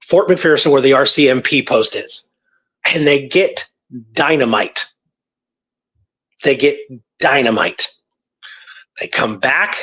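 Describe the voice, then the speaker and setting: A man hosting a talk show, retelling a true story in a casual, animated way with repeated phrases and pauses for drama. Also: mid-range voice, breathy edge, poor audio. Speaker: podcast host telling a true historical story.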